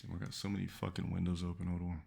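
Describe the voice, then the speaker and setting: Deep gravelly man, blunt streamer. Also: completely flat delivery, rapid-fire, dark tone. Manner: blunt, profane, thinking aloud. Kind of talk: casual reaction commentary.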